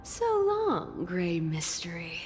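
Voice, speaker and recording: A playful, sardonic thief, with a slightly low voice that swoops freely. She sounds breezy and teasing, over faint game music. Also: wildly swooping pitch, slow, darkish tone, heavily acted. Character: cheeky, evasive female thief with a playful streak.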